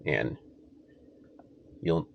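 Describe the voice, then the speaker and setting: A man's voice: extremely slow, lo-fi sound. A young man with a slightly congested voice, chatting casually to the camera as a vlogger inside a car. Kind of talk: casual vlog chat to camera. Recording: noisy car interior with engine hum. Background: car and road noise.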